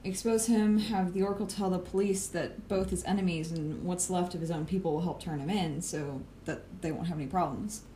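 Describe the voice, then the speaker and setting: Low-voiced sardonic superhero schemer played by a woman. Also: fairly quick, hardly any pauses, dark tone, lightly acted, poor audio. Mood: confident, plotting. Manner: casual, swearing, matter-of-fact. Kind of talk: audio drama acting. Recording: clear background noise.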